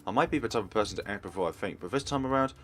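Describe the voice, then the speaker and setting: A man's voice: lowish voice, animated, racing. A man hosting a let's play, reading visual novel dialogue and narration aloud in character. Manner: reads in character, shifting between parts. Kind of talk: dramatic read-aloud of visual novel text. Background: soft game music.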